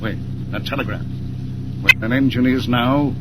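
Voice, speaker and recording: An actor playing a gruff, harried railroad superintendent in 1940s radio style, exclamatory and blustering. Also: male voice, medium pitch, steady tone, moderate pace, natural voice. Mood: alarmed disbelief. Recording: noisy old 1940s radio broadcast, vehicle noise.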